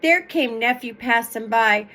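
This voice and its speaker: A woman reading stories aloud in an expressive, emphatic, explanatory way. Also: on the high side, measured pace. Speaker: storyteller reading folktales aloud. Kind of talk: expressive read-aloud storytelling with informal explanatory asides.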